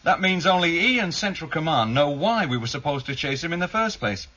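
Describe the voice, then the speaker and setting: An actor playing a methodical, keen junior police investigator in a British radio drama, explaining evidence matter-of-factly to a superior. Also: male voice, on the high side, expressive, fairly quick, bright, forceful tone. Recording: dull, lo-fi 1978 radio broadcast.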